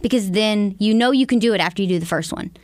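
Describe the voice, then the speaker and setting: A woman's voice: medium pitch, quick pace, a little mellow, dry recording. A woman, a modest, good-humored guest answering questions about her life. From southern Missouri Ozarks. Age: 30s. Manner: modest, laughing, agreeable. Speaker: guest author and instructor. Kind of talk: casual interview chat.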